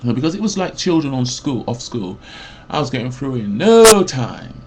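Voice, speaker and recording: A man in his forties, a mental health support worker, ranting about his job in a loud, rambling way full of fillers and restarts. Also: mid-range voice, big pitch swings, normal speed, noisy background. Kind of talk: rambling personal rant.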